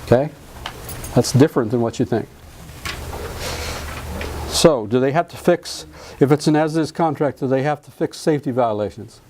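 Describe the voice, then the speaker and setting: Middle-aged guy lecturing a class, mellow voice, folksy and jokey, faint hiss behind. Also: medium pitch, normal speed, long pauses. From Alabama, US South. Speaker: real estate contract instructor.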